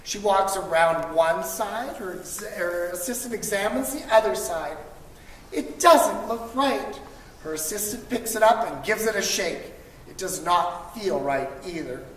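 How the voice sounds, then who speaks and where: A woman reading a children's picture book aloud to school pupils, expressively, with dramatic emphasis and drawn-out words. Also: medium pitch. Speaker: storybook reader for school children. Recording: distant mic, echoey reverberant room, faint noise.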